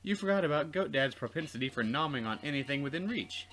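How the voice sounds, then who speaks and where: Guy narrating a visual novel let's play, wry, really lively pitch, faint music behind. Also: fast. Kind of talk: reading game narration aloud with casual asides.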